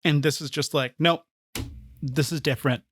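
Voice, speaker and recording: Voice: medium pitch, fairly even intonation, moderate pace, a bit bright, a little hiss or hum. A podcast co-host chatting casually about fandom in a playful, emphatic way. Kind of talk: casual fan podcast chat.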